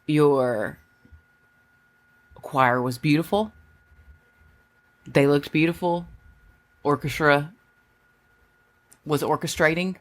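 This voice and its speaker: A woman co-hosting a casual podcast, bantering and teasing, her voice a little gravelly from a sore throat. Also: low-pitched, fairly even intonation, slow pace, pauses often. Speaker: podcast co-host. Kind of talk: casual chat.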